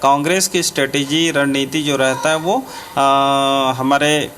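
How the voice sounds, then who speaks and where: A man, a politician, giving an unscripted statement in a halting, hesitant way. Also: mid-range voice, steady tone, fairly bright tone, a little hiss or hum. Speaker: politician, former legislator.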